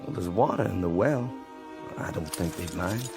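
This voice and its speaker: An actor playing a war-weary, decent Confederate sergeant in a radio drama, with a Southern American accent and a folksy, hesitant, earnest manner. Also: male voice, low-pitched, lively intonation, moderate pace, vocal fry. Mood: guarded, uncertain. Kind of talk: dramatic radio play acting. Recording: studio radio drama over faint music.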